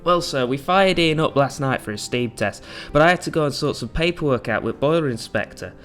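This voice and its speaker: A man's voice: medium pitch, animated, a little fast, a bit bright, faint music behind, natural voice. A man playing an exhausted, dutiful railway engineer in an audio drama, speaking in a tired and earnest way. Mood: worried.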